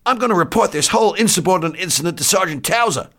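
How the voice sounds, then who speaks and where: A man's voice: sing-song, brisk, fairly bright tone. A glum military doctor in an audiobook, acted by a male narrator. He is whiny and self-pitying, complaining with peevish indignation and distrust of those around him. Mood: alarmed, indignant. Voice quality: shrill when upset.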